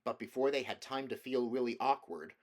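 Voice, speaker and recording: A man narrates a storybook in a middle-pitched voice. He reads scripted prose as an amateur audiobook reader. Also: brisk.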